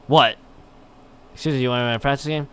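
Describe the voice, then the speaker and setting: A man's voice: mid-range voice, fairly even intonation, fairly quick, a bit bright, smooth, close to an everyday voice. Man reading as an arrogant, sneering rival gamer. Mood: curt.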